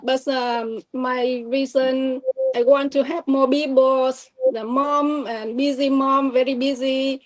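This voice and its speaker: Halting Vietnamese-accented woman, slow and flat. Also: high voice, low-quality recording. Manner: halting, broken English with many fillers. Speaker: guest entrepreneur. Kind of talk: live online panel. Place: home in California.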